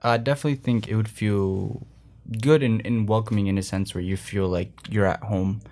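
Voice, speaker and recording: Young man, Spanish first language, low voice, flat delivery, earnest. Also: moderate pace. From Colombia. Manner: earnest, many restarts and fillers. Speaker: guest, former student drummer. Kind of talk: interview answers.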